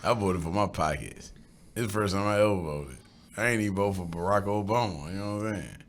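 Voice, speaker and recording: A man's voice: deep voice, brisk. A young man with a Southern US accent, co-hosting a podcast in blunt, slangy casual chat. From American South. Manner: loud, blunt, swears freely, slangy. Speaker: podcast co-host.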